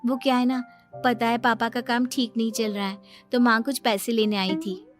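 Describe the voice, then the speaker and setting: A woman's voice: fairly high pitch, normal speed, music in the background, natural voice. A woman voicing a naive, blunt, truthful young housewife in a cartoon story, with an innocent, apologetic manner. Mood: guileless.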